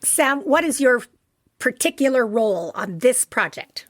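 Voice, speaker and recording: A woman's voice: a little high, very animated, a little slow, a bit bright, no echo, clean studio sound. A woman co-hosting a podcast, asking questions in a playful, teasing way. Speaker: podcast co-host. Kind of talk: casual interview.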